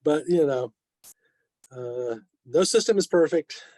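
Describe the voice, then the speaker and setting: Higher-pitched man, informal presenter. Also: lively intonation, takes it slowly, warm tone, no room sound. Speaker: club member presenting. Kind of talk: informal explanation with dry joking asides. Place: online video meeting. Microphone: video call.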